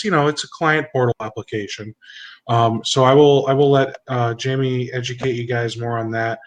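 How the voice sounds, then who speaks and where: A man's voice: mid-range voice, fairly bright tone, poor audio. A man hosting a webinar, introducing the guest in an informal, chatty, slightly rambling way. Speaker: webinar host and moderator. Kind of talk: casual introduction.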